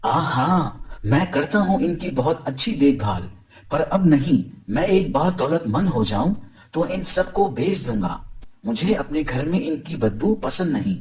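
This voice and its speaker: A man playing a greedy, discontented village milkman in an animated moral story, boastful, scheming and dismissive. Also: on the high side, measured pace, poor audio.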